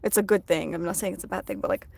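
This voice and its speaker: A woman whose first language is Russian, chatting softly on a casual live stream from her phone while she draws, reading out viewers' comments. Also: lowish voice, sing-song, racing, creaky, breathy edge. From United States. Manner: soft-spoken, chatty, reads viewer comments aloud.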